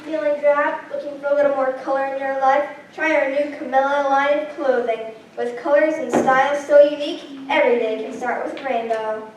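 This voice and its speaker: Woman playing a high-voiced commercial pitchwoman. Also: steady tone, fairly quick, hardly any pauses, a little mellow, lightly acted. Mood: salesy. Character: peppy female clothing-line advertisement pitchwoman. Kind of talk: stage musical acting, commercial parody. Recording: echoey hall, distant mic, faint noise.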